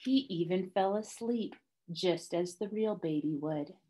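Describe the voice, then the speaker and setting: A young woman reading a children's story aloud like a teacher, deliberately and with dramatic emphasis. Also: fairly low pitch, warm tone, a little hiss or hum. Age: young adult. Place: small classroom, indoors, by a whiteboard.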